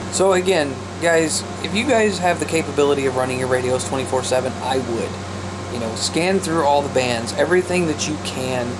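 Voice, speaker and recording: A man talking casually to viewers as a radio hobbyist vlog host, often repeating himself for emphasis. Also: a little high. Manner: repetitive, emphatic, addresses listeners as guys. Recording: loud background noise and radio hiss.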